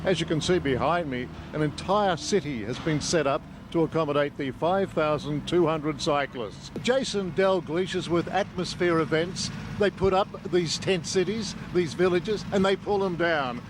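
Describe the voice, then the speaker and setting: An Australian man works as a field reporter for television news. His voice sits a little high, and he presents and puts questions on location, with distinct urban outdoor noise behind him. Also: moderate pace, few pauses. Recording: noisy outdoor location with vehicle ambience.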